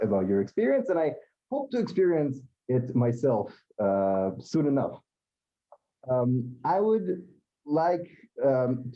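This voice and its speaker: A man's voice: mid-range voice, lo-fi sound. A man hosting a community panel, talking with many fillers and restarts. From Israel. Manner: hesitant, many fillers and repeated words. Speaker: event host. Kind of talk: moderated panel talk.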